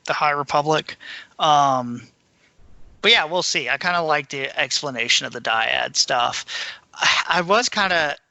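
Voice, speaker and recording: A man's voice: fairly high pitch, unhurried, creaky, pushed and bright, no echo. A man talking casually as a co-host of a fan podcast.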